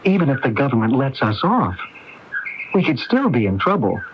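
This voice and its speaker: Nervous art dealer caught up with mobsters: agitated, pleading, stammering, very animated pitch, radio drama. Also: male voice, moderate pace. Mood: panicked. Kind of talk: radio mystery drama acting. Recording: dull, hissy AM radio recording.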